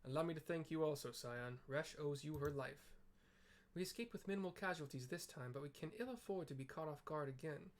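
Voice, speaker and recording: A man voicing a stern male military commander from a fantasy game, speaking formally and gravely. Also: fairly high pitch, a little fast, natural voice. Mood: grave thanks. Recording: clear background noise.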